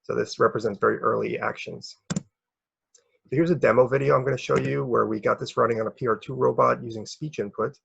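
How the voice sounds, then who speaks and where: Male academic presenter, very husky and gravelly, halting delivery, slightly muffled recording. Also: medium pitch, steady tone, a bit bright. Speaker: researcher presenting a paper.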